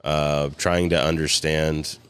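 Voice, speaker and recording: A man with a strikingly low, smooth, resonant voice. He talks loosely, full of fillers and restarts, with frequent chuckles. He sounds like a guest in a casual sit-down interview. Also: little pitch movement, slow, fairly bright tone, no echo. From California. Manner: rambling, many fillers and restarts, chuckles often. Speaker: guest, cigar company co-founder.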